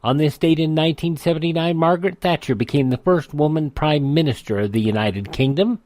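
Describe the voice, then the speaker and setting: A man's voice: fairly high pitch, fairly quick, darkish tone, no echo. American man narrating, dry studio sound. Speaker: radio history segment narrator. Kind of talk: scripted news-style narration.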